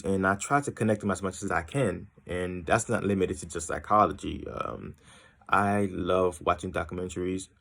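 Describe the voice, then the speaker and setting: A young man from Haiti introduces himself in a loose, wandering way, circling off on tangents and filling pauses with ums. His voice sits very low with a gravelly, fried edge. He sounds easygoing and unscripted. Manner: rambling and tangential, many ums and uhs. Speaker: student introducing himself to a class. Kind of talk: casual self-introduction.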